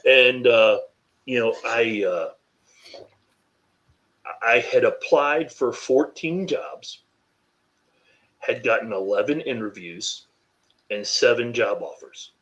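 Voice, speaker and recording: A deep-voiced man from North Carolina, a podcast guest speaking candidly and reflectively, with frequent "you know" fillers. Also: expressive, slow, pauses often, fairly bright tone, muffled recording. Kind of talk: candid interview conversation.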